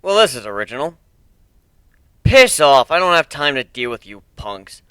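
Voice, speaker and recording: Bullied teen nerd narrator male reader: sardonic, bitter, punchy emphasis, clean sharp voice, dry close recording, dramatic story reading. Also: medium pitch, very animated, normal speed, smooth, no room sound. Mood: sarcastic, irritated.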